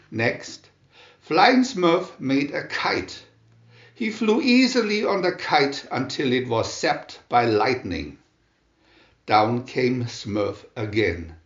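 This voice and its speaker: A man narrates a children's storybook with great deliberation, taking his time over each phrase. His intonation rises and falls in a lively, expressive way. The recording is slightly muffled and sounds like a reverberant room. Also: mid-range voice. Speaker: storybook reader.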